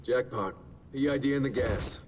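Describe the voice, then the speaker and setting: An actor playing a terse, urgent CIA field operative in a video game, talking in clipped military phrases. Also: male voice, mid-range voice, normal speed, natural voice. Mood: focused. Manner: clipped military radio talk. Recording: distant game audio with background noise.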